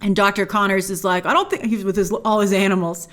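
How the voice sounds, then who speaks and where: A woman's voice: medium pitch, fairly even intonation, fast, fairly bright tone. A woman hosting a review show, giving casual, opinionated commentary in an emphatic way, leaning hard on key words. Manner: emphatic, gushing in praise, stresses key words. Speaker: host of a film review show.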